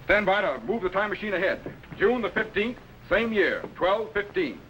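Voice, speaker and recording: A man playing a brisk, authoritative scientist captain in 1950s television science fiction, instructing in a crisp and kindly way. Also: high-pitched, animated, fairly bright tone. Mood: brisk, commanding. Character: commanding, brisk male scientist captain of a time machine. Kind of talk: 1950s television sci-fi acting. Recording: slightly noisy old 1950s TV soundtrack.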